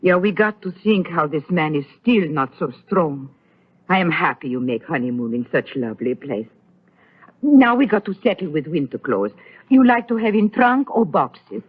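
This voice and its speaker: Tinny old radio recording: doting, bossy housekeeper, husky, sing-song, fretful broken English. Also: female voice, low-pitched, very animated, normal speed, exaggerated voice. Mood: fussy, coaxing. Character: fussy, motherly foreign housekeeper Hannah, sentimental and bossy. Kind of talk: old radio soap opera acting.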